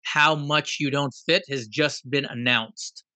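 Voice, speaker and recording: A man in his fifties from Guyana, telling a personal story in an animated, reflective, self-deprecating way. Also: mid-range voice, takes it slowly, dry recording. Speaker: guest.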